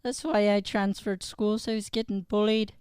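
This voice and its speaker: A meek, jittery new schoolboy played by a man in an improvised radio comedy. The voice is very clear and smooth, recorded dry, with hesitant, apologetic, stammering delivery. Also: sits high, level tone, moderate pace, darkish tone, no room sound, close to an everyday voice. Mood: nervous, apologetic.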